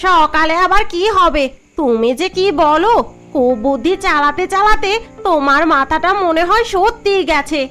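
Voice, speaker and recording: A sharp-tongued cartoon village wife fires off nagging questions, voiced by a woman. Her voice is very high and piercing. Soft music plays behind her. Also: animated, normal speed, full character voice. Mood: scolding. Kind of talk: Bengali cartoon voice acting. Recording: music under the voice.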